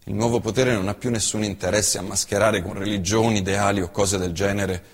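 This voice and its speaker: Husky-voiced man declaiming in a hall. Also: on the low side, steady tone, fairly quick. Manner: impassioned declamation swinging from hushed to loud. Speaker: stage actor. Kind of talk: theatrical monologue reciting an essay. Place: theatre stage.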